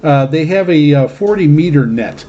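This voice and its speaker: Halting male radio host, dark muffled sound. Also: on the high side, lively intonation. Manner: halting, hesitant delivery with very frequent ums. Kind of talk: casual radio mailbag presentation.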